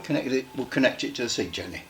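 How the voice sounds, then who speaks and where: Man thinking aloud, halting. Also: medium pitch, expressive, background noise. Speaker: radio repair hobbyist demonstrating equipment. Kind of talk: casual demonstration commentary.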